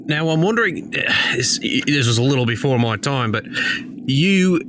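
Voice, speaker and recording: A man's voice: medium pitch, expressive, moderate pace, background noise. A man improvising casually as a tabletop game player, rambling and hesitant as he talks. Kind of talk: casual improvised role-play chat. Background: background music.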